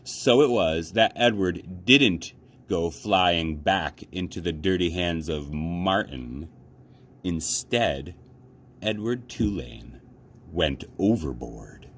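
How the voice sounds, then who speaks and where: A man with a low voice narrates a children's book. His intonation is animated and expressive, in a read-aloud style. There is noticeable noise in the background. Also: slow. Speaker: youth librarian reading aloud.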